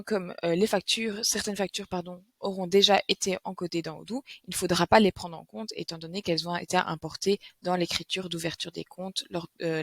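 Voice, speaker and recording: A woman presenting a software tutorial, explaining step by step in a somewhat hesitant, instructional way. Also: fairly low pitch, no echo. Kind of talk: screen tutorial, semi-scripted explanation.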